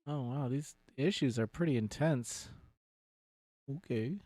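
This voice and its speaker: A man's voice: mid-range voice, a little slow, dark tone, no room sound. A man giving casual, laid-back let's play commentary as he streams a video game.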